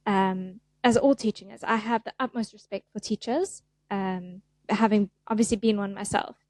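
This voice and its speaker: A woman's voice: medium pitch, fairly even intonation, moderate pace, a little mellow, no room sound. A middle-aged woman with a South African accent, chatting as a podcast guest in an easygoing, self-deprecating way. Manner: chatty, self-deprecating, acts out little voices. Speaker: guest, fascia therapist. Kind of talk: casual podcast interview.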